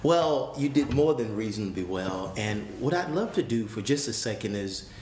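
A man's voice: fairly low pitch, expressive, normal speed, a little mellow. A man hosting an interview podcast, introducing his guest and prompting him eagerly, with plenty of affirming murmurs. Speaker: podcast host. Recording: roomy with faint background noise.

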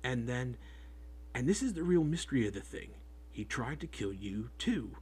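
A man reading an audiobook, voicing a big rough-spoken groundskeeper who talks in homely, clipped speech. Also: medium pitch, very animated, moderate pace, a little mellow. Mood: worried storytelling. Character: big rough-spoken male groundskeeper, gruff but kind. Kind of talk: audiobook character voice.

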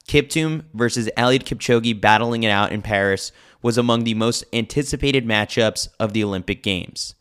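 A man's voice: fairly low pitch, fairly bright tone, clear, resonant tone. A man hosting a podcast, reading a scripted newsletter as narration.

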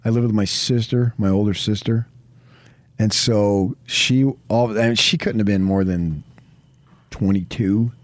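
A man's voice: on the low side, steady tone, unhurried, dry recording. A middle-aged man with a New Jersey accent, talking sports off the cuff in a rambling, emphatic, conversational way as a radio host. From New Jersey, later Arizona. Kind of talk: unscripted sports talk.

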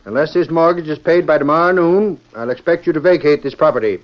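A grasping old skinflint played by a man in a vintage radio western, fairly high in pitch. He is curt, cold and unyielding, on a dull old recording. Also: fast talker, natural voice. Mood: curt, demanding. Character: cold, grasping old male mortgage holder. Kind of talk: 1940s radio western acting.

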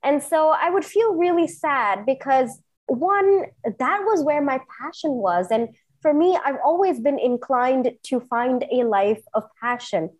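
A woman's voice: high-pitched, expressive, a little slow. A woman in her thirties from Singapore, telling her career story as an interview guest in an earnest, enthusiastic way. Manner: earnest, enthusiastic, emphatic repetitions. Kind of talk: personal storytelling in conversation.

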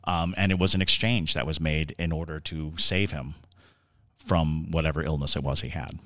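A man co-hosting a podcast, telling stories and explaining in a casual but halting, deliberate way with drawn-out words. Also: a bass voice, fairly even intonation, pushed and bright, no room sound. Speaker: podcast co-host. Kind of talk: casual discussion and storytelling.

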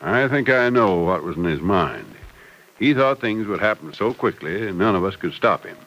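A man's voice: sits low, very animated, normal speed, fairly bright tone, exaggerated voice. An actor playing a kindly, fatherly confidant in a 1940s radio soap opera, thoughtful and soothing in manner. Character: kindly, fatherly male confidant, calm and reassuring. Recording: noisy old radio broadcast recording.

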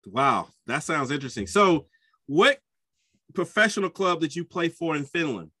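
A man hosting a soccer podcast, chatty and enthusiastic, often jumping up in pitch when he gets excited. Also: moderate pace, slightly rough, no room sound, studio quality. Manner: enthusiastic, chatty, with sudden upward pitch jumps. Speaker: podcast host. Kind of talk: casual podcast interview.

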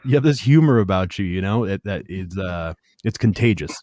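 A man in his late thirties with a lowish voice chats with a guest. He stumbles and repeats words as he goes, friendly and easygoing. The sound is dry and close. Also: animated, a little fast, warm tone, dry recording. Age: 30s. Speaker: youtube channel host, interviewer. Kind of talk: casual interview chat.

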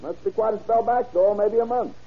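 An actor in an old radio drama playing a plain-spoken, folksy rural man with a husky voice. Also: very high-pitched, steady tone, brisk, exaggerated voice. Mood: earnest, matter-of-fact. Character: rural desert-town local man reporting to the sheriff. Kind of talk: 1930s radio acting. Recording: muffled old radio recording.

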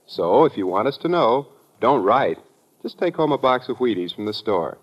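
A man with an American accent giving a folksy, joking sponsor pitch in the style of 1950s radio advertising. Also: big pitch swings, normal speed. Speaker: sponsor's announcer. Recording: dull vintage radio recording.

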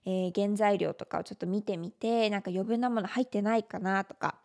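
A woman's voice: medium pitch, fairly even intonation, rapid-fire, gravelly, dark tone. A woman from Japan with a Japanese accent, a native Japanese speaker, hosting a casual solo podcast in a conversational, explanatory way. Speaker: podcast host.

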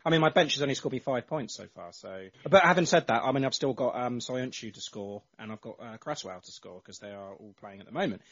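Husky man hosting a chatty podcast. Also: mid-range voice, fast, hardly any pauses, fairly bright tone. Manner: chatty, rambling, hesitant. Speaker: podcast host. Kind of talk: casual chat. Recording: dull lo-fi.

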